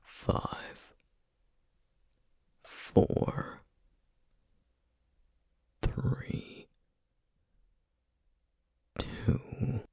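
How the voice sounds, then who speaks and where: A man playing a menacing, distrustful feral essence eater in an ASMR roleplay, speaking in a breathy, husky near-whisper with slow, threatening deliberation. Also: a little mellow, no room sound. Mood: cold menace. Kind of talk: ASMR roleplay audio drama.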